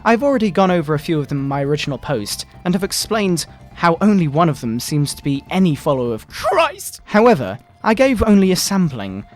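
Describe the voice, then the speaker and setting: Man putting on a pompous, high-pitched fire-and-brimstone moral crusader. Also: very animated, soft background music. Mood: indignant, preachy. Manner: pompous, melodramatic preaching with drawn-out words. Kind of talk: mock-dramatic satirical reading.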